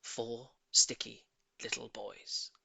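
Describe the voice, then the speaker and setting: A man narrating a children's audiobook in an expressive, theatrical and emphatic storytelling manner. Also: medium pitch, slow, creaky, slightly rough, no echo.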